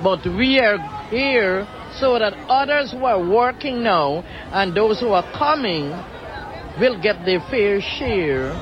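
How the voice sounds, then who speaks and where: A retired home care worker, a woman, speaking firmly and deliberately in an interview. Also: medium pitch, animated, muffled recording, a crowd in the background, noisy background. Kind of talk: interview at a protest.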